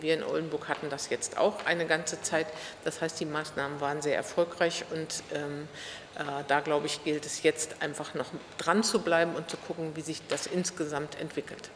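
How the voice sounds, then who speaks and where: Middle-aged woman in a reverberant, noisy hall. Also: deep voice. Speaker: city official being interviewed. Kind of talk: interview answers, unscripted. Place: large wood-panelled room indoors. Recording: reverberant hall with background noise.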